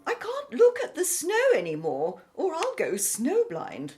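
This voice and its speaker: Woman to play brisk lady airship captain for radio play: very high, slow, theatrical delivery, inquisitive. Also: huge pitch swings, darkish tone, soft background music, heavily acted. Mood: curious. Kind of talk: audio drama acting.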